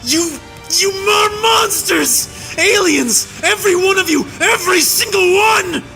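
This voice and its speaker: A man playing an enraged human soldier, tied up and hurling a breathless, shouted tirade of hatred in an audiobook. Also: sits high, steady tone, unhurried, gravelly, faint music behind, voice pushed up, exaggerated voice, poor audio. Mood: raging. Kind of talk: audiobook reading.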